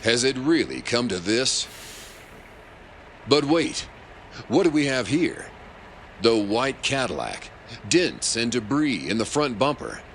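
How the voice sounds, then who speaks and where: An actor playing a melodramatic announcer, narrating a pulp adventure serial with grandiose suspense. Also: male voice, medium pitch, sing-song, slow, long pauses, fairly bright tone, a little hiss or hum, heavily acted. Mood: suspenseful.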